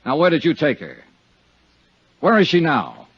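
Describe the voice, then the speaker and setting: Hard-boiled radio detective, animated, husky. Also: male voice, a little high, measured pace, heavily acted. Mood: demanding. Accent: American. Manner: terse, hard-boiled, pointed. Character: tough, persistent male insurance investigator, world-weary but humane. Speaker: insurance investigator hero and narrator. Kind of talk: 1950s radio detective drama acting. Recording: dull, lo-fi 1950s radio recording.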